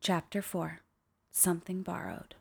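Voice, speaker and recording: A woman acting a defiant, protective young woman who is intense and sharp-tongued, in a radio play. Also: lowish voice, flat delivery, a little slow, gravelly, a little hiss or hum. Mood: somber narration. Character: defiant, protective young woman, a sailor investigating family tapes.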